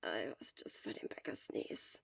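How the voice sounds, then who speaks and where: A woman voicing a weak, irritable man who mutters curtly in a breathy whisper, in an audio story. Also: unhurried, no room sound, studio quality, close to an everyday voice. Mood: grumbling, subdued. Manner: muttering, curt. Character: grumbling, weak teenage-to-adult man, irritable and guarded.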